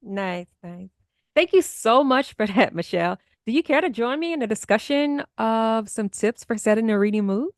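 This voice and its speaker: A woman's voice: on the high side, lively intonation, moderate pace, dry recording, studio quality. A woman hosting a podcast, speaking to listeners in an upbeat, enthusiastic way, partly scripted. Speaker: podcast host.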